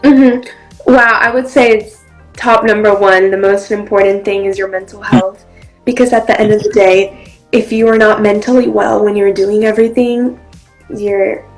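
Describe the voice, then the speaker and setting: A woman's voice: medium pitch, a little mellow, rough recording. Chatty young Brazilian woman. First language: Portuguese. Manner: friendly, chatty, many ums and likes. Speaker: interview guest, actress and model. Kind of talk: casual interview answers.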